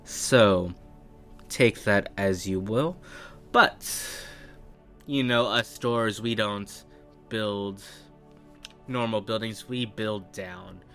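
A man's voice: on the low side, very slow, long pauses, fairly bright tone, faint music behind, heavily acted. A man voicing a cheery, evasive old dwarf artificer with a folksy, hesitant way of muttering asides. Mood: matter-of-fact pride.

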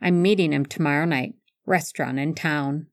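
A woman's voice: sits low, moderate pace, no room sound, pitched below the performer's own voice, close to an everyday voice. A woman voicing an anxious, self-deprecating man with a dry, grumbling manner in an audiobook. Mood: wry reluctance. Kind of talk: audiobook fan fiction character dialogue.

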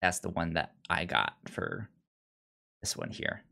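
A man's voice: low-pitched, sing-song, unhurried, creaky, sharp tone. A man co-hosting a podcast in casual, unscripted chat, hesitant and often stammering through repeated false starts. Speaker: podcast co-host. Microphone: remote online call.

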